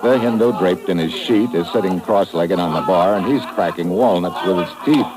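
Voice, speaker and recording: An actor with an American accent playing a tough, wisecracking secret agent who narrates in a clipped, wry manner in a 1950s radio drama. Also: male voice, low-pitched, moderate pace, a crowd in the background, close to an everyday voice. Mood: narrating. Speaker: narrator and lead.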